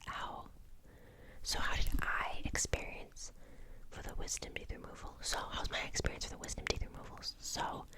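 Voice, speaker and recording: A woman in her twenties from the United States, whispering an ASMR question-and-answer session in a chatty way with lots of "um" and "like". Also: moderate pace. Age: 20s. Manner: soft unvoiced whisper, chatty with many fillers. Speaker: ASMR channel host.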